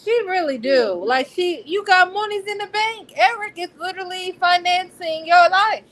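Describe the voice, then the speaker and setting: An American woman chatting casually as a book discussion co-host, candid and slangy in her animated storytelling. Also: extremely high pitch, normal speed. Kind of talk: casual chat. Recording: noticeable background noise.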